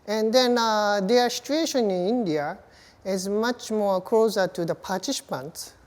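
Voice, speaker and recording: Slow Japanese-accented woman in reverberant space. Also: medium pitch. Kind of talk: documentary interview. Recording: long reverberant hall echo.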